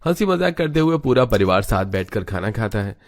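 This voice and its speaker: Male story narrator for a cartoon tale, low register, scripted delivery. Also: very animated, a little fast.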